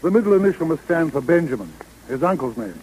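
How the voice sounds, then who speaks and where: A male actor with an American accent playing a brisk, businesslike senior lawyer in a 1930s radio drama. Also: fairly high pitch, big pitch swings, a little mellow, slight background noise, poor audio. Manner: businesslike, authoritative. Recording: vintage 1930s radio broadcast.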